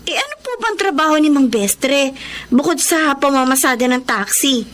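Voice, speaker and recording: A woman's voice: sits high, lively intonation, moderate pace. A woman acting in a Filipino radio drama as a complaining, curious schoolgirl daughter. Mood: curious, worried.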